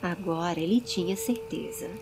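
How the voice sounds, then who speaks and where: A woman's voice: fairly low pitch, moderate pace, background noise. A woman with a Brazilian accent narrating a dramatized fantasy audiobook.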